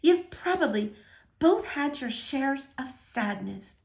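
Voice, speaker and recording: Woman voicing a gentle old lady librarian, measured storyteller. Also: high voice, big pitch swings, gravelly, heavily acted. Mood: soft, wistful.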